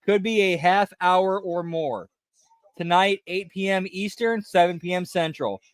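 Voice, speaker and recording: A man's voice: sits high, a little slow, smooth, no echo. A man hosting a live wrestling watch-along, chatting casually and tacking "pal" onto his remarks. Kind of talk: casual live commentary over a broadcast.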